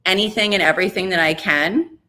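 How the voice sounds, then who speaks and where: A woman in her forties talks candidly in an interview, rambling and self-deprecating. Her voice sits a little low but has a bright, forceful edge. She speaks in an open, unpolished way. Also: a little fast, low-quality recording. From Chicago area, US. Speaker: radio host, interview guest. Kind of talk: casual interview chat.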